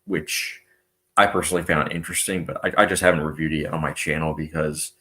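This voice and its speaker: A man's voice: a bass voice, pushed and bright. A young man hosting a casual live stream, reading out viewer comments and chatting loosely with plenty of fillers. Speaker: movie channel host.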